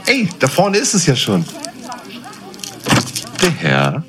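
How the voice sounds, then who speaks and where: A man's voice: medium pitch, huge pitch swings, slow, over music. A man playing a laid-back, slightly self-important travelling buddy in a comedy audio drama, chatty and casual.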